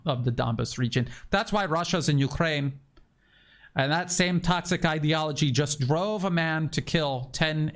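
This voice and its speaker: A man delivering political commentary in an emphatic, declarative way. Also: fairly high pitch.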